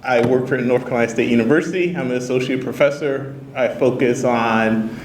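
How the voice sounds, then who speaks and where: Measured man candidate, echoey room. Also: mid-range voice, steady tone. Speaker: town council candidate. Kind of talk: candidate introduction. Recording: echoey room, distant mic, faint noise.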